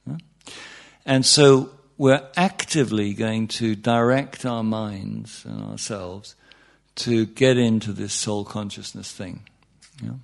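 Gravelly man teaching meditation. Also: on the low side, fairly even intonation, slow. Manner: conversational, joking, checks in with "yeah?" and "you know". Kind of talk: informal spiritual talk to a group.

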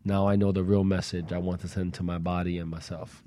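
A man's voice: deep voice, level tone, fairly quick, mellow. A man talking casually and conversationally as a panelist on a talk show, with some slang.

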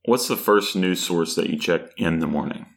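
A man hosting a podcast, reading a scripted intro and asking polite, measured questions. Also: deep voice. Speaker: podcast host.

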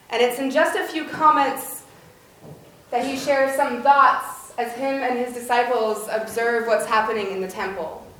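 A woman's voice: a little high, a bit bright, slight background noise. A woman pastor delivering a prepared sermon in a church. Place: church, indoors, reverberant. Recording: very echoey church sanctuary.

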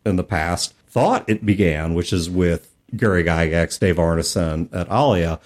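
A man's voice: low-pitched, a little slow, darkish tone. A man co-hosting a casual gaming discussion, explaining things with rhetorical questions and asides. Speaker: podcast co-host.

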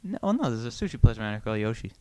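A man's voice: mid-range voice, sing-song, very fast, crowd noise. A man co-hosting a comedy podcast, bantering wryly in casual improvised chat.

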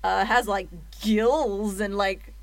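A woman's voice: medium pitch, fairly even intonation, slow pace, dry recording. A woman playing a tabletop game, describing a character casually with many fillers and some swearing. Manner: hesitant, filler-heavy, swears. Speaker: player. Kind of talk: casual chat.

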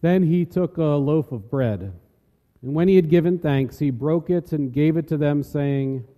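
A man's voice: on the high side, measured pace, mellow. A man narrating scripture from a script as part of a dramatic reading. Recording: echoey hall with long reverb.

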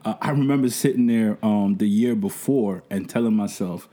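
A young man with a deep, mellow voice talks loosely through his story, dropping slang and circling back on himself. His tone is dark and easy, and his speech is that of a seasoned event host chatting on a podcast. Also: moderate pace. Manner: relaxed, rambling storytelling with slang. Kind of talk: casual podcast conversation.

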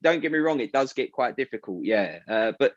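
A man with a South London accent chatting casually about his radio show, animated and full of fillers and restarts. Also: medium pitch, brisk, dry recording, highs cut off. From South London, England. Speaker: radio presenter, guest. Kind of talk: casual interview chat.

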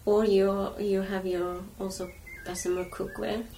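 Woman, mid-pitch, gentle and hesitant, distant mic, faint hiss. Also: little pitch movement, a little slow. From Iceland. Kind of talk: casual chat.

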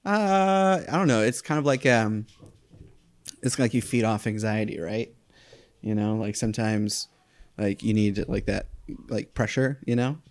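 A man's voice: fairly low pitch, unhurried. A man from Florida, a stand-up comedian guest, talking in a sleepy, rambling way full of fillers and giggles. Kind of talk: casual chat.

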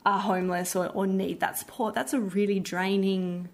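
Creaky-voiced Australian woman in conversation. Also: medium pitch, steady tone, moderate pace. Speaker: theatre director, interview guest. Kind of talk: casual interview chat.

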